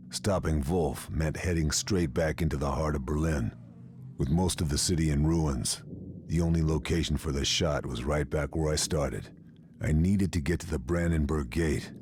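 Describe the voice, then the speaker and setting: Game narrator: steely, weary wartime sniper, extremely low voice, terse grave delivery, scripted cinematic read. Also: male voice, darkish tone, a little hiss or hum. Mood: urgent, bitter. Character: weary, steely American WWII sniper recounting his mission.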